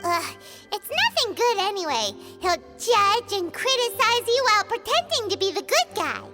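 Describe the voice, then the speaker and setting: A woman voicing a tiny, childlike floating fairy companion in a video game, squeaky, cheeky and opinionated, exclaiming and explaining. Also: sing-song, normal speed, loud music behind the voice. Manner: speaks of self in third person, exclamatory, explanatory. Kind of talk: video game character voice acting.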